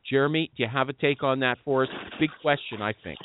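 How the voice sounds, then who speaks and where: A man hosting a casual panel chat, brisk and jokey as he steers the talk. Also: medium pitch, noisy background. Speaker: podcast host. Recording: tinny phone-line audio.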